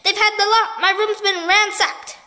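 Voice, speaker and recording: A woman voicing a grand old duchess, a former opera diva, with shrill screaming demands. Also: quick pace, sharp tone, long reverb tail. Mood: hysterical.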